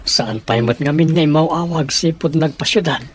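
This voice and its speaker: Man acting as caring worried dad, Ilocano accent, middle-aged, fairly high voice, faint noise, radio drama. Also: moderate pace, natural voice. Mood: fatherly, worried.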